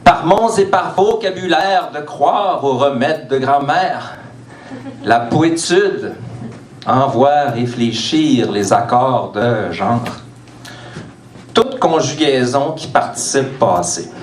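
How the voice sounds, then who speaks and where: Slow-paced Quebec man performing slam in echoey room. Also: mid-range voice, expressive. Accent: Quebec French. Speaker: slam poet. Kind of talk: live spoken-word poetry performance. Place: indoor bar stage. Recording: echoey room, distant mic, faint noise.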